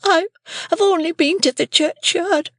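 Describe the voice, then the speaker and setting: A frightened little boy answers in a high, timid, whimpering voice, as a male audiobook reader puts him on. The sound is dry and close. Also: lively intonation, moderate pace, dry recording.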